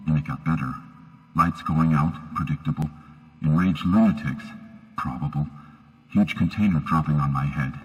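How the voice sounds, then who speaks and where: A man's voice: a bass voice, a little mellow, long reverb tail, rough recording. A man playing a weary, wry doctor in a sci-fi horror video game, with a clinical, dryly sardonic manner. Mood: grim, analytical. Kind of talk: video game acting, musing monologue.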